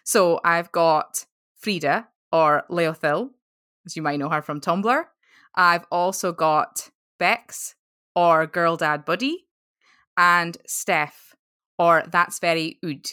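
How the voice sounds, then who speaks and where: Host: woman, low voice, dry close sound, chatty and warmly apologetic. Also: slow, clear, resonant tone, dry recording. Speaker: podcast host. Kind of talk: casual podcast chat.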